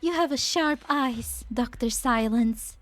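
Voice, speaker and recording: A woman playing a gentle, idealistic, dreamy scientist in an amateur game fan dub. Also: on the high side, slow, dry recording, faint music behind. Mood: soft, devoted.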